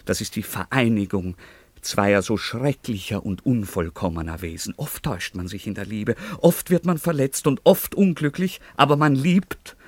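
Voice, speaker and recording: A man's voice: mid-range voice, a bit bright, no room sound. An actor playing a proud, passionate, lovestruck young nobleman in a German radio drama, declaiming earnestly. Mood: impassioned. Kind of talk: German radio play acting of a classical drama.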